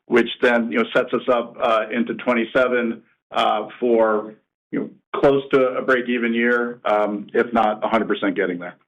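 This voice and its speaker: A man answering an analyst on an earnings call as a company executive, speaking in a halting, measured way. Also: mid-range voice, poor audio.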